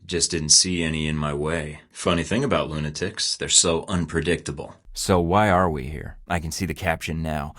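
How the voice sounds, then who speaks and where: A man's voice: rumbling low, steady tone, normal speed, few pauses, a bit bright. An actor voicing a young male secret agent in a video game, dry and wry with sardonic one-liners. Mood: cocky. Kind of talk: game voice lines. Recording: studio game dialogue.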